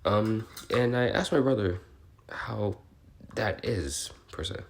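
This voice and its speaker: A man's voice: lowish voice, slow. A man playing an awkward, curious, bookish researcher recording an audio log, explaining in a hesitant, rambling, politely apologetic way. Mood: hesitant, explanatory. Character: male researcher logging species notes, awkward, curious, bookish. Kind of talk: in-character audio log, semi-improvised explaining.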